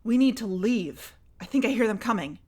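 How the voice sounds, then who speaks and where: Audio drama: actress as frightened woman, urgent, higher register. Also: lively intonation, normal speed, a little mellow. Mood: panicked, urgent. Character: frightened, urgent young woman podcaster hiding a secret.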